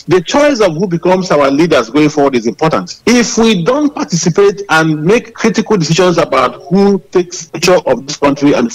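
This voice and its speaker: A man's voice: a little high, poor audio. A man with a Nigerian accent giving his opinion as a political commentator.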